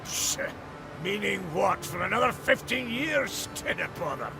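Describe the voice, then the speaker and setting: An actor voicing a gruff, blunt old dwarf elder in a fantasy video game, with a Scottish accent and gravelly voice. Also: male voice, sits high, sing-song, measured pace, music in the background, exaggerated voice. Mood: gruff, grudging. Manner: blunt, folksy, bossy. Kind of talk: dramatic video game voice acting.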